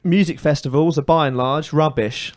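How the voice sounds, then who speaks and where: A man's voice: a little high, fairly even intonation, moderate pace, no echo, clean studio sound. A British man co-hosting a comedy podcast, chatting casually with colloquial, jokey complaints and opinions.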